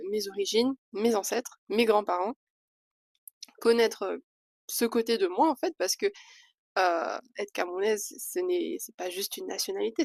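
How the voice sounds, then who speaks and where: A woman's voice: medium pitch, no echo, studio quality. A young woman from Switzerland chatting candidly to camera in a casual vlog, with hesitant fillers between thoughts. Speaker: vlogger.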